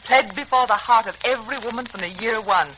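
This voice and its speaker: A woman's voice: fairly high pitch, fast, bright, forceful tone, rough recording. An actress playing a middle-aged American wife and mother in a 1940s radio serial, wry, emphatic and theatrical. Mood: rueful. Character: sharp-tongued, long-suffering middle-aged wife and mother. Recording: hissy 1940s radio recording.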